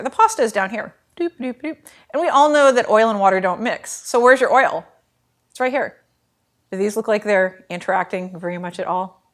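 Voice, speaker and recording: A woman in her thirties hosting a casual cooking lesson in a home kitchen, chatty and animated, with lots of fillers. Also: on the high side. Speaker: cooking show host.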